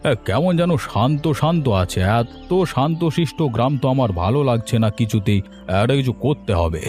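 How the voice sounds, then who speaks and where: A menacing cartoon landlord, dark and mellow in tone, acted by a man. He broods and domineers like the vain boss of a village, with faint music behind. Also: mid-range voice, expressive, a little fast, lightly acted. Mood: brooding menace. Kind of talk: Bengali fairy-tale cartoon dialogue.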